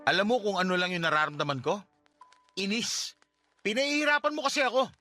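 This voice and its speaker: A man's voice: high-pitched, a little fast, pushed and bright, faint music behind. A man playing a cold, exasperated husband in a radio drama, speaking curtly. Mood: irritated. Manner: curt, exasperated. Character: cold, irritated husband pushing to end his marriage. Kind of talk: radio drama dialogue in Tagalog.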